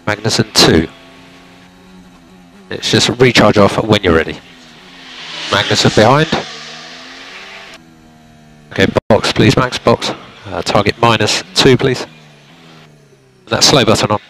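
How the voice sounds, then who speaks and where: A man working as a race engineer, giving calm, clipped instructions to a driver over team radio. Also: on the low side, takes it slowly, pauses often. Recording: noisy team radio, car engine sound.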